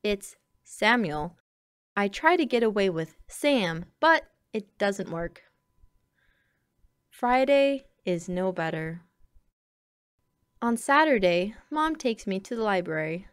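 Unhurried woman reading a picture book. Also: mid-range voice, smooth, dry recording, clean studio sound. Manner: expressive, deliberate phrasing. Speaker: storybook reader. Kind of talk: children's picture-book read-aloud.

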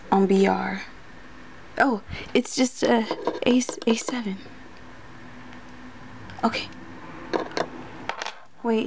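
A woman with a fairly high, soft voice performs an ASMR roleplay, often dropping into a breathy whisper. She mutters hesitantly to herself as an unsure student. The close-miked recording has clear background noise. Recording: noisy close recording with clicks.

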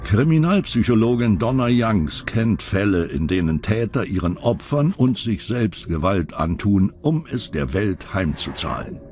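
Low-voiced man narrating true crime, lively delivery. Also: a little slow, few pauses. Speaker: documentary narrator. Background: background music.

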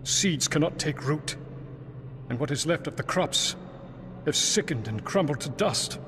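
Guilt-ridden puritan farmer in a game, solemn old-timey talk, big reverb, loud music. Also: male voice, on the high side, expressive, a little slow. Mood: grim. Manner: solemn, archaic phrasing. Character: troubled Puritan farmer husband, colonial man, guilt-ridden. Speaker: game character. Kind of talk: dramatic game monologue.

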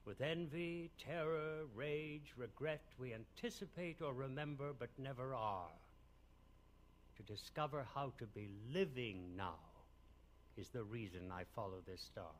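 An older man performing a grave, searching wise man in a measured, earnest verse reading. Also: mid-range voice, slight background noise, lightly acted. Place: concert stage in a radio performance hall. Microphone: close studio mic on boom.

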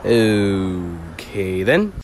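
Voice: sits low, sing-song, drawn-out words, slight background noise. An amateur voice actor dubbing a toy car for a fan-made video. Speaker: hobby voice actor.

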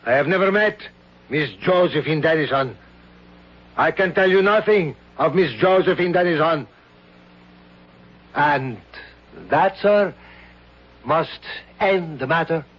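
A suave, sinister court official in an old radio drama, talking slowly and silkily as he makes quiet threats. The voice sits a little high, and the broadcast sounds dull and lo-fi. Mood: indignant. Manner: smooth, silky and sinister, theatrical. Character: suave scheming male court official, menacing. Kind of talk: 1970s radio drama acting.

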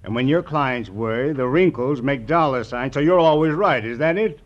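An actor playing a cagey, taunting American money-grubber in an old radio crime drama. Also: male voice, medium pitch, moderate pace, lightly acted. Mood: sly, taunting. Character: sleazy, evasive money-grubbing male operator. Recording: muffled old radio recording.

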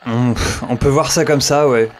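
A man's voice: mid-range voice, steady tone, moderate pace, a little mellow, a little hiss or hum, natural voice. Guy playing a young zombie apocalypse survivor, lonely n shaken, hesitant casual talk. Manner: hesitant, informal. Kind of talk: audio drama acting, first-person narration.

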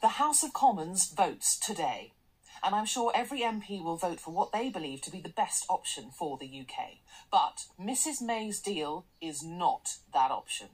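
An actress in a radio drama playing a brisk, commanding, articulate corporate boss, cool and self-assured. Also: female voice, fairly low pitch, animated, slightly rough, poor audio. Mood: formal, confident. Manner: assertive, articulate, polished.

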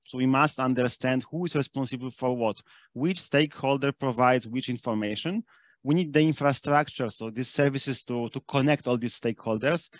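A man's voice: mid-range voice, normal speed, no echo. A man giving an explanatory technical presentation to slides, speaking as a conference presenter. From Austria (based there).

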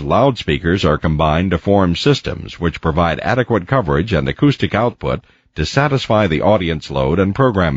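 A man reading a scripted educational narration in a measured, precise, didactic way. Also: deep voice, husky, no echo, lo-fi sound. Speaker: instructional video narrator. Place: close, dry voice-over recording.

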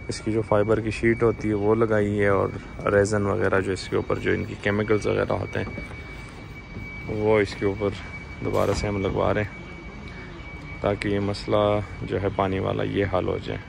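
A man's voice: fairly low pitch, vocal fry, noisy background. A young man hosting a farm channel, casually narrating his vlog on an outdoor city rooftop with a phone camera. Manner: relaxed, explains while asking questions.

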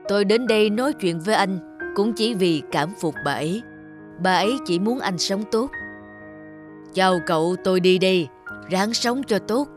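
A solemn Grim Reaper played by a woman scolds in an animated fairy tale. The delivery is reproachful and stern, with music faintly underneath. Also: mid-range voice, moderate pace, natural voice. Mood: firm, solemn.

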